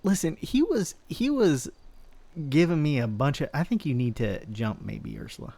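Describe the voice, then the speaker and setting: A man's voice: on the high side, huge pitch swings, moderate pace, mellow. A man in his twenties chatting casually in character during a roleplay, rambling with lots of restarts. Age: 20s. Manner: rambling, self-interrupting storyteller.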